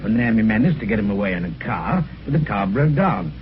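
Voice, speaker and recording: An actor playing a jovial, teasing British police inspector in a radio mystery, breezy and chatty. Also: male voice, on the low side, big pitch swings, a little fast. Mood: breezy explaining. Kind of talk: 1950s radio mystery acting. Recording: dull, noisy old radio recording.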